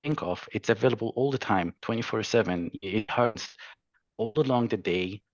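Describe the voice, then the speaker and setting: A man's voice: on the low side, normal speed, dry recording, muffled recording. A young adult man with an Egyptian accent, explaining things as a panelist. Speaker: panelist, geophysicist.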